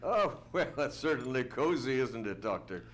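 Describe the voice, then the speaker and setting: A man plays an easygoing announcer from a 1940s radio show. He has a medium-pitched voice and chats in folksy, genial sales patter. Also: very animated, moderate pace, fairly bright tone. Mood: cozy, chatty.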